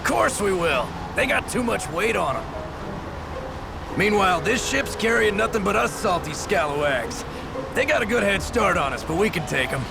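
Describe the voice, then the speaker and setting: A man's voice: sits high, expressive, moderate pace, vocal fry, over music. A man playing a hearty ship captain in a video game, with folksy sailor talk. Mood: easygoing, confident. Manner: folksy, colloquial nautical slang. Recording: noisy, ambient background sound.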